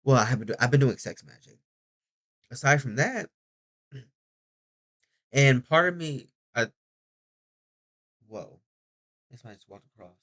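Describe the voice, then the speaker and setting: A man's voice: mid-range voice, animated, takes it slowly, pauses often, a bit bright. A young man rambling through a casual video diary, chatty and often interrupting himself. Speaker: vlogger.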